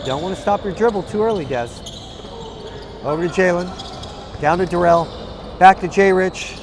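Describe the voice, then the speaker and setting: A hoarse man with a raspy voice doing live, partisan color commentary for a basketball game. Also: sits high, moderate pace, background noise. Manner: partisan, cheers on one team with "we". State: hoarse, tired voice.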